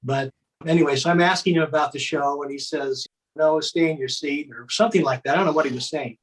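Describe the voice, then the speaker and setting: A man's voice: on the high side, quick pace, rough recording. An older man reminiscing in a chatty, folksy way as an interview guest narrating home footage.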